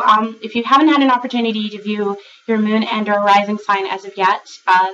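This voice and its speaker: A woman giving a rambling, chatty tarot reading to her viewers, a little raspy and congested from a cold, with many fillers and restarts. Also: medium pitch, a bit bright, far from the mic, lo-fi sound. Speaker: tarot reader. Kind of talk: casual monthly tarot reading to camera.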